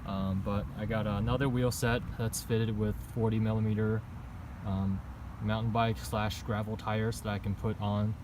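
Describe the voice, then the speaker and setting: A man giving a casual, unscripted walkthrough of his gear in a halting, hesitant way. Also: flat delivery. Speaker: vlogger reviewing own bike setup. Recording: noisy outdoor recording with traffic rumble.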